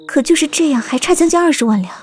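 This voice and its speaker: A woman playing a gentle, caring young wife in a Chinese period audio drama. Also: sits high, animated, quick pace. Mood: worried. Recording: music behind the voice.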